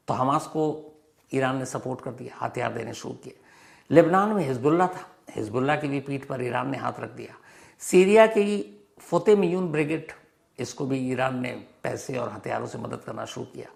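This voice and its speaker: Man, heavy vocal fry, gravelly, medium pitch, emphatic storytelling, dramatic repetition, explainer monologue. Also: animated, normal speed, pauses often. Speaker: crime and history show host.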